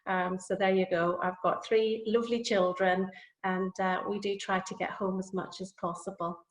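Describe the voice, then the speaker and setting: A woman's voice: medium pitch, little pitch movement, normal speed. A middle-aged woman with a Northern Irish accent gives an informal webinar presentation as a guest lecturer, chatty and a little hesitant, speaking over a video call. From Northern Ireland. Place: office or home, on a video call. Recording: roomy, echoing webinar audio.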